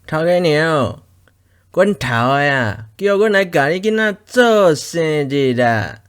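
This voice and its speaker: A male radio drama narrator voicing a young male street thug who makes slow, mocking threats. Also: a little high, lively intonation, a bit bright, clear, resonant tone. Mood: menacing.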